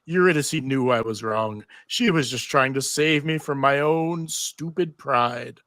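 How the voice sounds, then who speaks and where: A man's voice: mid-range voice, unhurried, fairly bright tone, no echo, clean studio sound, natural voice. A man playing a grieving, earnest musician hero full of regret in a live fantasy table read. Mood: remorseful.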